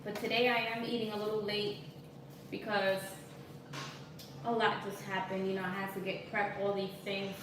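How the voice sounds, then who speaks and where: A woman's voice: mid-range voice, level tone, normal speed. A woman in her thirties hosting a cooking live stream from her home kitchen, chatting casually and reading viewer comments aloud. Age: 30s. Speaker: cooking channel host. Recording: echoey kitchen, distant mic, noisy.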